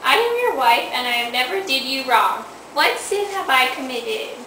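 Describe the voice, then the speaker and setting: A woman's voice: high-pitched, big pitch swings, moderate pace. A young woman playing a stern, bossy lady in an amateur student puppet show. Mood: indignant. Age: teenager. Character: queen and old hag wife, bossy, stern. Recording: echoey distant amateur recording with hiss.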